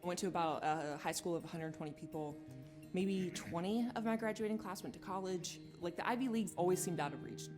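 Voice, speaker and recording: A woman briskly telling her personal story as a student in a promotional video. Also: fairly low pitch. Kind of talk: personal testimonial. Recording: voice over music bed.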